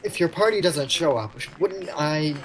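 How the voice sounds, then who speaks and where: A man's voice: a little high, lively intonation, moderate pace, a little hiss or hum, close to an everyday voice, poor audio. A man playing an awkward, prickly shop clerk in a vintage-style radio romantic comedy, speaking in a hesitant, wry way. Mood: stung, prickly. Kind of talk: vintage radio play acting.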